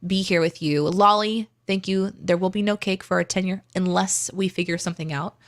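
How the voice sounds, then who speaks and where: Chatty woman hosting, lower-pitched voice. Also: steady tone, a little fast. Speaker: co-host of a live stream and podcast. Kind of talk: casual livestream chat.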